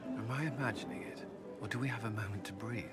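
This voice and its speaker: An actor playing a dutiful military commander in a fantasy game, sincere and measured. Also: male voice, fairly low pitch, lightly acted. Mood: earnest, relieved. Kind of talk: dramatic game dialogue. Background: music.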